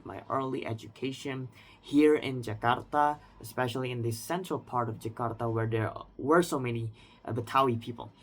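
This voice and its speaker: A man in his twenties with an Indonesian accent, speaking unscripted in a reflective personal monologue, often restarting and hedging. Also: mid-range voice. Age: 20s. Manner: reflective, with frequent restarts and hedges like "I would say". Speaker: vlogger.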